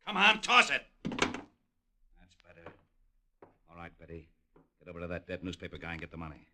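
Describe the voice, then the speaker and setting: An actor playing a cold, bullying gangster killer with clipped slang in a 1940s radio drama. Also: male voice, low-pitched, huge pitch swings, moderate pace, heavy background noise, exaggerated voice. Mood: menacing. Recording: old-time radio recording.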